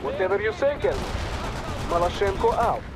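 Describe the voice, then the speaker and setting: An actor playing a brash, ruthless Soviet army captain with a Russian accent, impatient and defiant in a military video game. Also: male voice, sits high, sing-song, a little fast, background noise. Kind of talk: video game voice acting, radio calls. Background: machine guns, artillery and vehicles.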